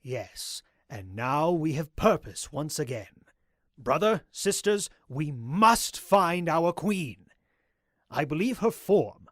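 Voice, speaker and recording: A man's voice: a little high, a bit bright, dry recording, studio quality, full character voice. A man playing a zealous, courteous pony cult leader in an audio drama, speaking in a formal, grandiose way.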